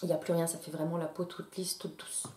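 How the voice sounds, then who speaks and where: A woman's voice: deep voice, flat delivery, fast talker, mellow, slight background noise. A woman with a stuffy nose from a cold, chatting casually to her viewers with many fillers and restarts. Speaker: beauty YouTuber. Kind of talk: casual product haul talk to camera. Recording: echoey room.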